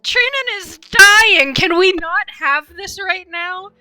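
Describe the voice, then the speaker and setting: Casting: female game streamer, piercingly bright high voice, chatty and rambling, dry close mic over faint music. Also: slow pace, dry recording. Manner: rambling, animated fan reactions. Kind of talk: casual live stream commentary.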